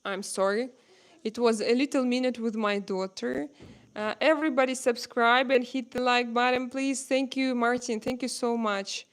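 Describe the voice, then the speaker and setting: A woman with a Russian accent and Russian as her first language, hosting a casual live-stream chat at home and pausing often to search for words. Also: on the high side, steady tone. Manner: halting, searching for words with many pauses.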